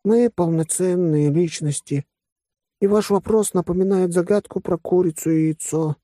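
A male narrator puts on an earnest furry creature for a storybook. The voice sits well above a normal male register. It is thoughtful, bookish and measured, in a dry close recording. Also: mellow, no echo. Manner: measured, bookish, high-pitched.

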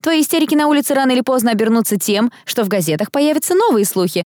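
High, snappy, sharp-tongued young woman, acted by a narrator. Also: animated, racing, no echo, clean studio sound, natural voice. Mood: indignant scolding. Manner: snappy, huffy, high-pitched. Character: sharp-tongued, bossy young woman scolding her companion.

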